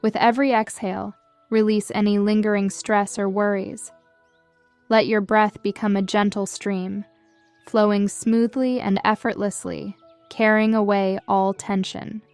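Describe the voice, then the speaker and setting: A woman narrating a scripted guided meditation in a soothing, measured and gentle manner. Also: mid-range voice, flat delivery, clear, resonant tone, no room sound. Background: background music.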